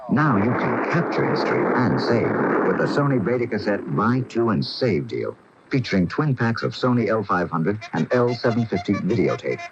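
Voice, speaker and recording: A man's voice: fairly low pitch, big pitch swings, normal speed, background noise. A man reading a scripted radio commercial as an announcer. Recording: dull lo-fi 1980 radio, music behind.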